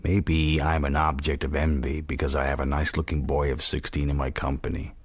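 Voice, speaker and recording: An actor playing a worldly, watchful man narrating a radio drama in the first person, introspective, measured and dry. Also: extremely low pitch, little pitch movement, slightly rough, dry recording. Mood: wry, content. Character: worldly, reflective, watchful male narrator-protagonist.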